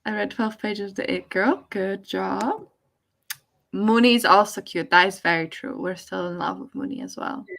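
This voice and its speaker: Woman with a creaky, casual chatting voice. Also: mid-range voice, lively intonation, normal speed, a bit bright. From Poland. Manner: informal, reads viewer comments aloud. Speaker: live stream co-host. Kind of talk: casual livestream chat.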